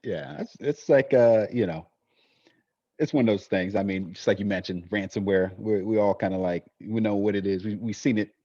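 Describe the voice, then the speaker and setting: A man co-hosting a casual podcast chat, hesitant with many fillers and quick to laugh. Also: lowish voice, dark tone, dry recording, muffled recording. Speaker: podcast co-host.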